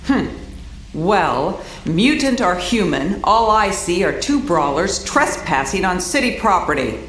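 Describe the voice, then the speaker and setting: An actress voicing a stern, unimpressed police commissioner, commanding and sardonic, in an animated drama. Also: female voice, on the low side, measured pace. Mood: smug authority. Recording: echoey room with faint noise.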